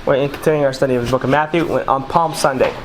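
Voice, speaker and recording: A man's voice: mid-range voice, steady tone, quick pace, slight background noise, poor audio. A man leading an informal Bible study, reading scripture passages aloud and explaining them conversationally to a small group. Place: small room with a group of listeners.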